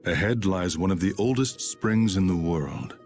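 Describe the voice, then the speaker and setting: A man's voice: low voice, lively intonation, normal speed, darkish tone, soft background music. An actor playing an aloof, stern swordsman who guards the natural world, speaking formally and solemnly in a video game cutscene. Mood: cold, solemn.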